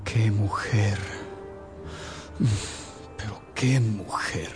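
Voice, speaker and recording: Un actor que interpreta a un rey cortesano, grandilocuente e impulsivo en una radionovela, con música de fondo. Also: male voice, fairly low pitch, extremely slow, music in the background. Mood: admiring. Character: grand, courtly male king, grateful and impulsive. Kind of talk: radio drama acting.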